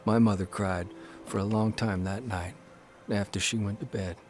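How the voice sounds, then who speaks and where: A man's voice: on the low side, steady tone, normal speed, a little hiss or hum, natural voice. An actor playing a grown man who tells, in a reflective, storytelling way, of his strict mother in a radio drama. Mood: wistful. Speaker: narrator and lead character.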